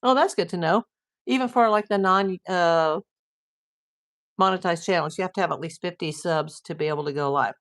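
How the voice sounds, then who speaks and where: A woman's voice: fairly low pitch, moderate pace. A middle-aged woman hosting a casual livestream, chatting and reading viewer comments while losing her voice. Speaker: livestream host.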